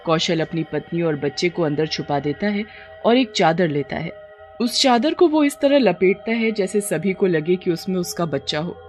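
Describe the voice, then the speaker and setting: A woman's voice: lowish voice, lively intonation, moderate pace, darkish tone, soft background music. A woman reading a scripted story as its narrator.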